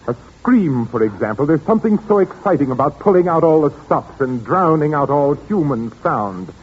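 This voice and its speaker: A silky, sinister organist. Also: male voice, on the high side, expressive, moderate pace, slightly rough. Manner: soft, silky and sinister, then panicked pleading. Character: menacing murderous male organist, coldly courteous. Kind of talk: 1940s radio acting. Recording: dull old radio recording with faint hiss.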